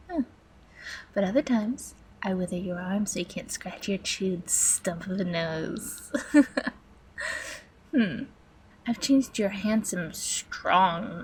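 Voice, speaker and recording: A woman's voice: medium pitch, a little mellow, slight background noise, natural voice, low-quality recording. A woman playing a hateful, sadistic supercomputer in a video game monologue, taunting human captives with theatrical menace and drops into whispers. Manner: theatrical, menacing, drawn-out delivery dropping into whispers.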